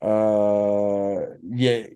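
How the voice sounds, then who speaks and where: A man's voice: fairly low pitch, level tone, very slow, dark tone, clean tone. A man co-hosting a pop culture show in casual, slangy chat, often hesitating and searching for his words. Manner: rambling, hesitant, word-searching; informal slangy talk. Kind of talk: casual chat about anime and manga.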